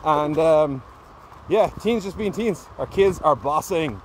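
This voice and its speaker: A man's voice: fairly high pitch, animated, takes it slowly, a little hiss or hum. A man in his thirties with an Irish accent, a youth coach filming a casual vlog on a misty mountain trail with a phone at arm's length, upbeat and encouraging. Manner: upbeat, cheering kids on loudly. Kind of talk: casual vlog on a group hike. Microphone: phone camera held at arm's length.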